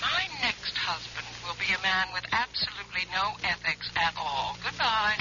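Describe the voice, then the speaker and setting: A woman's voice: medium pitch, expressive, moderate pace, lightly acted. A woman playing a playful, demanding, coaxing wife on the telephone in a 1970s radio drama. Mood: mock annoyed. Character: wealthy man's breezy, demanding, teasing wife on the telephone. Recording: dull lo-fi radio, telephone call.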